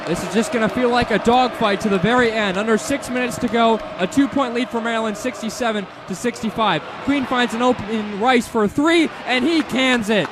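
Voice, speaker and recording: An American man doing animated, excitable live radio play-by-play of a basketball game from an arena. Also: very high-pitched, fairly quick, few pauses. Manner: animated, excitable sportscaster delivery. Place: indoor basketball arena broadcast position. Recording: loud arena crowd noise behind voice.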